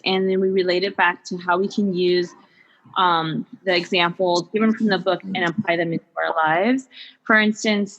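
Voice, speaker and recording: A woman's voice: lowish voice, fairly bright tone, lo-fi sound. A woman from California, a podcast guest, talking in a gushing way with many fillers. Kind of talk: casual chat.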